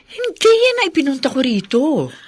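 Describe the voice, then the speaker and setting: A woman's voice: high voice, wildly swooping pitch, moderate pace. A woman playing a caring, frank older sister in a radio drama, consoling and emphatic. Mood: concerned.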